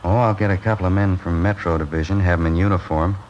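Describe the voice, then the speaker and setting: A man acting out a matter-of-fact police captain in a vintage radio drama. He gives orders in a deep, quick voice. The recording sounds a little dull, with faint hiss underneath. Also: fairly even intonation, a little mellow, lightly acted. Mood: businesslike. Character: calm, businesslike police captain.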